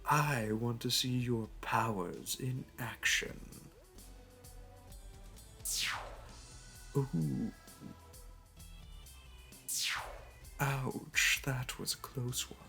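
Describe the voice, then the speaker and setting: A man's voice: medium pitch, takes it slowly, vocal fry, pushed and bright, heavily acted. A man playing a suave, flirty supervillain in an audio roleplay, with a teasing, purring, theatrical delivery. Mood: taunting, playful. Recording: music under the voice. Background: music.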